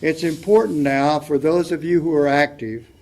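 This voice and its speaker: An older man reading a letter aloud as a panelist at a public forum, soft-spoken and reflective. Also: a little high, steady tone, moderate pace. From United States.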